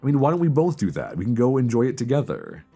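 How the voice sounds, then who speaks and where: A man playing a wistful, homesick young friend with a secret crush in an intimate romantic audio roleplay, deep-voiced, confiding and hesitant, close on a binaural mic. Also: wildly swooping pitch, fast talker, slightly rough, heavily acted. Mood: brightening, eager. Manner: hesitant, confiding, conversational with sighs. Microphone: close binaural microphone. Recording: close binaural recording with soft guitar music.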